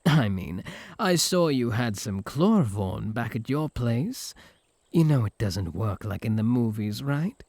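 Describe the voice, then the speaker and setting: Smug British incubus, lively and swooping man acting. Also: mid-range voice, measured pace, no echo. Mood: smug, taunting. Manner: mocking, flirtatious banter. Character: smug, teasing, possessive male incubus. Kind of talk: comic ASMR audio roleplay.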